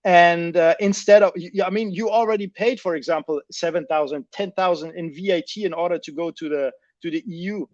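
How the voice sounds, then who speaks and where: A man's voice: high voice, fairly quick, few pauses, a little mellow, no room sound. A man discussing business in an emphatic, explanatory way, often repeating and rephrasing himself, on dull lo-fi audio.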